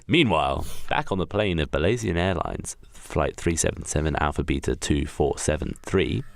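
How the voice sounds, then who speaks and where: A man's voice: rumbling low, animated, normal speed, gravelly, a little hiss or hum. A man narrating an improvised comedy radio play in a theatrical, emphatic storytelling manner. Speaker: podcast narrator.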